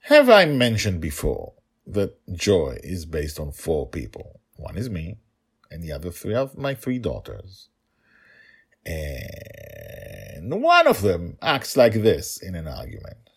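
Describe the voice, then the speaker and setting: A middle-aged man hosting a storytelling podcast, talking and narrating in an emphatic, playful way with deliberate repetition. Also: low-pitched, wildly swooping pitch, gravelly, breathy edge. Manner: emphatic, playful, repeats himself for effect. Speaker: storytelling podcast host and author.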